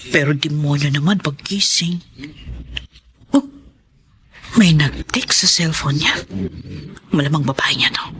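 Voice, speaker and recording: A woman acting a jealous, sharp-tongued wife in a Tagalog radio drama, talkative, sarcastic and combative. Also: deep voice, breathy edge, heavily acted. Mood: suspicious muttering.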